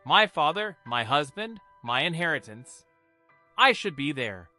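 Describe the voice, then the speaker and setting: Man voicing a proud blind mother, raised pitch. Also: big pitch swings, unhurried, sharp tone, faint music behind, heavily acted. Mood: defiant protest. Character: determined blind mother, Nigerian woman, proud and defiant. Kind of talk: dramatised folktale narration.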